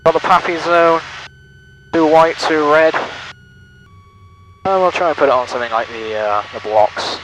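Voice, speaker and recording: Male pilot-vlogger, higher register, checklist-style running commentary, loud aircraft noise throughout. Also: moderate pace, long pauses, low-quality recording. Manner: clipped, repetitive checklist-like phrasing. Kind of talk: talking through flight manoeuvres aloud, with radio calls. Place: small plane cockpit in flight. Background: loud steady aircraft engine and radio.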